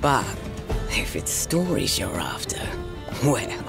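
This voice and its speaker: An actor playing a knowing storyteller, giving scripted dramatic narration to open an audio drama. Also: male voice, medium pitch, big pitch swings, slow, creaky, heavily acted. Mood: ominous, inviting. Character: knowing storyteller introducing a lawless port city. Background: prominent music.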